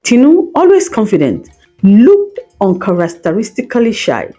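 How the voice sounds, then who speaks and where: A woman narrates a scripted folktale in a soft, mellow tone. Her delivery moves up and down with plenty of expression, and her voice has a slightly husky grain. Music plays behind the storytelling. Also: medium pitch, wildly swooping pitch, normal speed, muffled recording. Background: background music.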